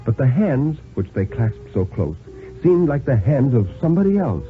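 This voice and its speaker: A man giving a dramatic, deliberate reading of a thriller story in the style of 1950s radio. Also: medium pitch, soft background music. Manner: deliberate, dramatic, expressive phrasing. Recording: narrow-band vintage 1950s radio recording.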